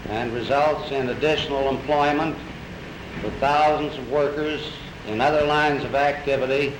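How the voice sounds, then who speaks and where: An American man giving a formal prepared statement as an industry executive in a 1930s newsreel. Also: medium pitch. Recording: noisy, roomy 1930s newsreel recording.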